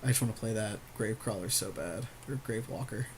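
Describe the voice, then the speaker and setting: A man's voice: on the low side, fast, slight background noise. Joking man chatting through gameplay. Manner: joking, slangy, swears casually. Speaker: podcast co-host commenting on a card game match. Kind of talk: casual gameplay commentary with a friend.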